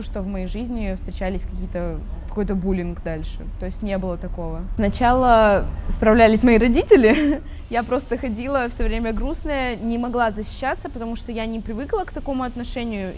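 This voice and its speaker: A woman's voice: mid-range voice, a little mellow, highs cut off, a little hiss or hum. A young woman in her twenties, born in Saint Petersburg, tells her life story openly in a documentary interview, with frequent fillers and light laughs. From Saint Petersburg, Russia. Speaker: interviewee, architecture student and drawing teacher.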